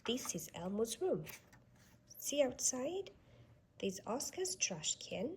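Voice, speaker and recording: A woman reading a children's storybook aloud with expressive, sing-song delivery aimed at young children. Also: on the high side, slow pace, a little hiss or hum. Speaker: storybook reader.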